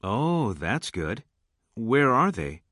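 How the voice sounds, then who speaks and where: A man playing a friendly junior high school student in scripted English listening test material, enunciating carefully. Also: sing-song, slow, fairly bright tone, no echo, studio quality, exaggerated voice. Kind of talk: scripted English lesson dialogue.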